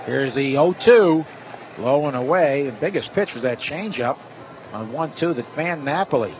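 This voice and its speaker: Guy calling a ballgame play by play on radio, higher voice, loud ballpark noise behind him. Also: moderate pace, dull audio. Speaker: baseball play-by-play announcer. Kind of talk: live radio baseball play-by-play. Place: stadium broadcast booth. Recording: steady stadium noise behind voice. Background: loud ballpark ambience.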